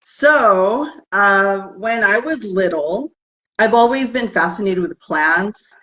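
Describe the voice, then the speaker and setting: A woman's voice: mid-range voice, expressive, slow, bright, forceful tone, low-quality recording. Earnest woman in a heartfelt interview. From United States. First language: bilingual with Tagalog. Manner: earnest, heartfelt, speaks in short phrases. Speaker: guest, herbalist business founder. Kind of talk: interview conversation.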